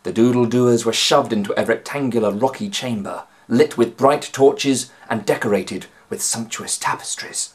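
A man's voice: mid-range voice, moderate pace, few pauses. A man, narrating a children's story expressively and dramatically. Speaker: author reading aloud. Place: small home room, indoors.

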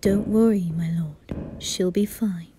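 A woman voicing a composed, deferential male demon butler in an anime ASMR roleplay, polite, measured and hushed. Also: fairly low pitch, steady tone, a little hiss or hum, pitched below the performer's own voice. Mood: reassuring, obedient.

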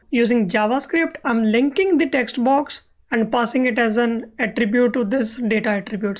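A woman explaining code step by step as the instructor in a screencast tutorial. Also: on the high side. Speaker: web accessibility instructor.

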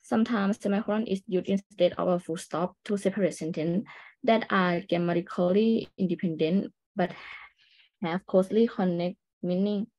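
A woman's voice: fairly low pitch, moderate pace, darkish tone, low-quality recording. A young adult woman, a student, reading a scripted class presentation aloud.

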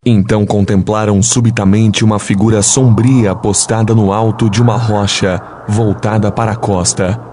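Man, lowish pitch, solemn scripted storytelling, noisy rumbling ambience behind. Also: steady tone, moderate pace. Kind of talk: solemn literary reading, scripted narration. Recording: clear background noise, ambient rumble.

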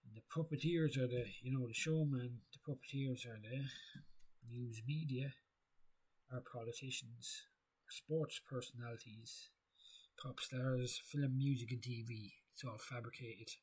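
A man with a husky voice giving a rambling philosophical monologue, halting and repeating himself as he thinks aloud. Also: mid-range voice, steady tone, a little mellow, poor audio. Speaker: video creator.

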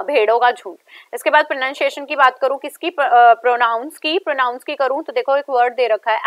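A young woman with an Indian English accent, teaching a class in a mix of Hindi and English and slowing down on English examples. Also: sits high, a bit bright, no room sound. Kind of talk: recorded lecture over slides. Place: indoor room.

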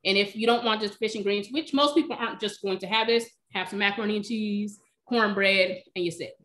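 A woman's voice: mid-range voice, brisk. Chatty woman cook on a video call. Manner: relaxed, chatty, explains by eye. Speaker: cooking demonstrator, recipe blogger. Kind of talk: casual live Q&A. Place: home kitchen. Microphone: video call, webcam.

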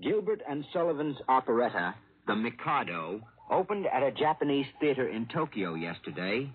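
A male foreign correspondent from 1940s radio with a mid-pitched, very animated voice. He reads his report like a story for broadcast. The recording is old, thin and phone-like.

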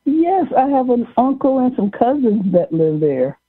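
A woman's voice: fairly high pitch, sing-song, a little slow, no room sound. A woman guest, a minister and coach, calling in by phone and answering in a playful, laughing manner. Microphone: phone call. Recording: muffled phone line, husky.

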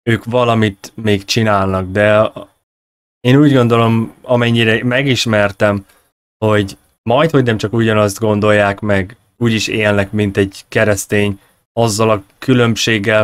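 A man's voice: on the low side, smooth, no room sound. Man interviewer in a dry, close sound. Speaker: host, interviewer. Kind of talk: conversational religious discussion. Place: small indoor room at home. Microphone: close desk microphone on a video call.

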